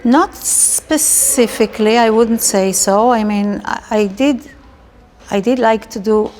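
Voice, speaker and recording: A woman's voice: a little high, takes it slowly, darkish tone, a little hiss or hum. A middle-aged woman from Israel with an Israeli accent, telling personal stories casually as an interviewee.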